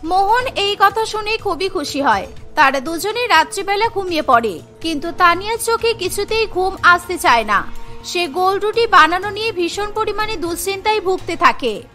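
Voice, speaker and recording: Woman storyteller, really high bright voice, cartoon narration, music underneath. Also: lively intonation, moderate pace. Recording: music behind the voice.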